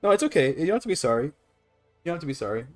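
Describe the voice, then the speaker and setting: A man's voice: fairly high pitch, very animated, racing, a little mellow, soft background music. A Canadian man chatting casually to his livestream audience, rambling and apologetic as he goes. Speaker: live streamer. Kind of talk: casual gaming livestream chat.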